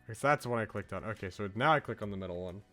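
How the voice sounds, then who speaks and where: A man's voice: fairly low pitch, racing, bright, forceful tone. A man doing casual livestream commentary, reading a visual novel aloud with playful, dry asides. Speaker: game streamer. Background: game music.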